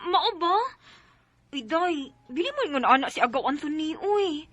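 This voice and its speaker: An actress playing an excitable, meddling young female cousin in a Cebuano radio drama. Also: sits high, moderate pace, dry recording, dull audio. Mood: concerned. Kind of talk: Filipino radio drama acting.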